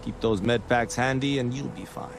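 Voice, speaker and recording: An actor playing a seasoned space-fleet officer briefing a recruit in a video game, easygoing and folksy. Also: male voice, medium pitch, steady tone, normal speed, vocal fry, slight background noise, natural voice. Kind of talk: scripted video game dialogue.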